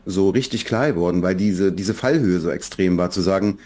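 A man in his forties from Berlin with a low voice talks things over in a relaxed podcast chat. He speaks thoughtfully, often pausing on fillers and starting sentences over. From Berlin, Germany. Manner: reflective, many fillers and restarts. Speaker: children's book author, guest.

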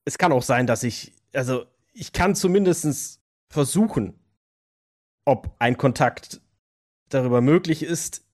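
A man's voice: medium pitch, measured pace, lightly acted. A man playing a wary, reluctant vampire in an audio drama, guarded and a little condescending. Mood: reluctant, cautious. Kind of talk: improvised role-play audio drama dialogue.

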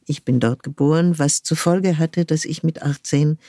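An older woman speaks in a low, mellow tone, weighing each phrase and stopping now and then to hunt for a word. The sound is close and dry, with no room around it. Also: dry recording. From France. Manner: thoughtful, searching for words with frequent pauses. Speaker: guest, cultural manager. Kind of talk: reflective conversational interview.

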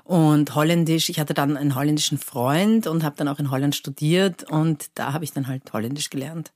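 Low-voiced Persian-born woman, dry sound. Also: fairly quick, no echo. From Iran. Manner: animated, lively storytelling with many fillers. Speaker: cabaret artist guest. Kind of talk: casual interview chat.

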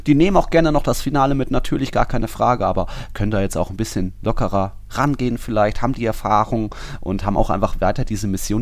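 Male podcast host, slightly deep voice, informal sports chat, very little room sound. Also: no room sound.

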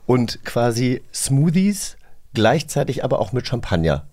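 A man's voice: mid-range voice, very animated, measured pace, a little mellow. Chatty male podcast co-host. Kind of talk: casual chat.

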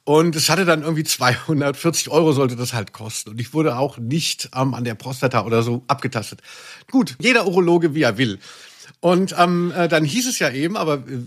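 A man with a husky voice, hosting a podcast in a playful, tongue-in-cheek, mock-sentimental way. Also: a little high, expressive, brisk, a bit bright. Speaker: podcast host. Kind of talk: playful scripted intro and casual banter.

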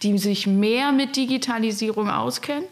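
A woman's voice: fairly high pitch, moderate pace. A woman giving conversational interview answers as a self-help organisation worker.

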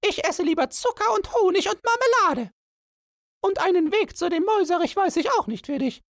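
A man voicing a clever, cold-blooded female ant who bargains calmly and stays unmoved. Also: extremely high pitch, a little fast, no room sound, studio quality, pitched above the performer's own voice, full character voice. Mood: bargaining. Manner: cool, unmoved, haggling.

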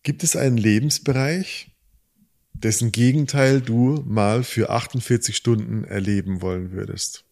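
A man co-hosting a casual podcast conversation, reflective and thinking out loud as he talks. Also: mid-range voice, fairly even intonation, a little slow, creaky. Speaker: podcast co-host. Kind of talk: casual conversation about relationships.

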